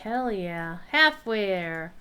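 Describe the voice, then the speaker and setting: A woman hosts a casual gameplay stream. Her voice is bright and crisp, and she takes her time, talking half to herself and half to the people watching. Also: mid-range voice, lively intonation, slow pace. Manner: talks to herself and viewers. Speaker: game streamer. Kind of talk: casual live gameplay chat.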